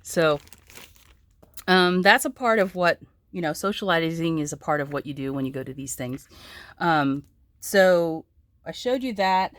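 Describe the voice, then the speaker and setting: A woman vlogger talks through her crafts in a loose, rambling way, full of ums and restarts. A sore throat leaves her hoarse and pitched quite low. The sound is dry and close. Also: lively intonation, a little slow, long pauses, no room sound. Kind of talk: casual chat showing crafts.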